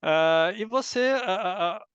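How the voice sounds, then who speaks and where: A middle-aged man with a Brazilian Portuguese accent giving thoughtful, halting answers as an interview guest. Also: high-pitched, a bit bright, clear, resonant tone, dry recording, lo-fi sound. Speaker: interview guest, university professor. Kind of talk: conversational interview answers, reflective.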